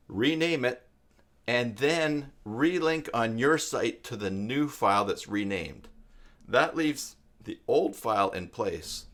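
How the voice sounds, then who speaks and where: A man hosting an informal live stream tutorial, explaining things step by step in a halting way as he thinks aloud. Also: mid-range voice, a bit bright. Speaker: live stream host and web tutorial presenter. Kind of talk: informal unscripted tutorial talk to viewers.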